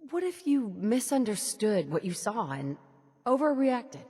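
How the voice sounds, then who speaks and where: An actress playing a calm, level-headed wanderer in a video game, reasoning and probing in a persuasive way. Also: female voice, fairly high pitch, measured pace, husky, heavily acted. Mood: earnest. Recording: faint music behind the voice.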